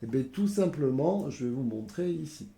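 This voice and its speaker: A man's voice: mid-range voice, animated, normal speed, dark tone, echoey room. A man with a cold, explaining board game rules casually to viewers, hesitant and full of fillers. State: has a cold.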